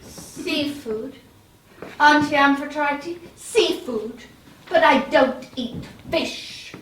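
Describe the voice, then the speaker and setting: An actress playing a whiny, fretful young goddess with a plaintive, anxious delivery in a live stage comedy. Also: female voice, high voice, extremely slow. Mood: despairing. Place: small playhouse stage, live performance. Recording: distant echoey theater recording, loud noise.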